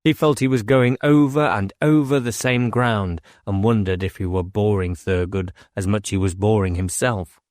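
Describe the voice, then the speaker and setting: Low-voiced man narrating a novel, dry sound. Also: moderate pace, darkish tone, dry recording. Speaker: audiobook narrator.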